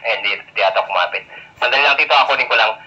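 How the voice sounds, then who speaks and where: Male radio operator, very sharp tone, casual call-and-reply chat, lo-fi transmission. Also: mid-range voice, very fast. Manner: informal, uses radio call phrases. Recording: dull lo-fi two-way radio with noise.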